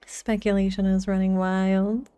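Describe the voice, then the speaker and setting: A woman's voice: medium pitch, flat delivery, a little slow, warm tone, soft background music. A woman game streamer reacting casually to a live playthrough in short murmured asides.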